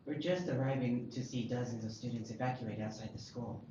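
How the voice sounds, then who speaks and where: A man's voice: medium pitch, fairly quick, lightly acted. A man playing a television news reporter giving an urgent breaking-news report at a table read. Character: television news reporter covering a school emergency. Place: roomy indoor table read. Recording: echoey room, dull sound, clear noise.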